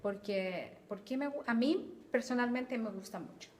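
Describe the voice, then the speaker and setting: A woman's voice: a little high, normal speed, a little mellow, a little hiss or hum. A middle-aged woman from Chile, a Spanish teacher, answering interview questions thoughtfully with hesitant repeats.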